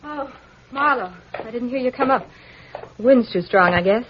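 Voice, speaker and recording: An actress playing a scheming, seductive femme fatale in a vintage radio melodrama, theatrical and coaxing. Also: female voice, fairly high pitch, lively intonation, moderate pace, close to an everyday voice. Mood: coy. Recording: muffled vintage radio recording, faint noise.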